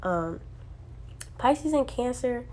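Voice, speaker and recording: A woman's voice: fairly high pitch, animated, slow, darkish tone, slight background noise, low-quality recording. A young woman who sounds congested from a sinus infection, chatting casually to her viewers with lots of slang and "um" and "like". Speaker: astrology video host. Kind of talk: casual talk to camera.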